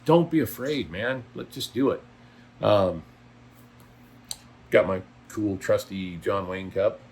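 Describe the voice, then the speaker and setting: A man's voice: lowish voice, slow, darkish tone, a little hiss or hum. Blunt, folksy older male trucker. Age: middle-aged or older. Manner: blunt, folksy, opinionated, emphatic. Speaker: trucker and video blogger. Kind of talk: casual vlog monologue.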